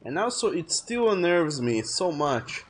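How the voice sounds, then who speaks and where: A man's voice: on the high side, big pitch swings, unhurried. Chatty man streaming a game, faint hiss. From France. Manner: rambling, self-correcting, chatty. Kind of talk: casual gameplay commentary.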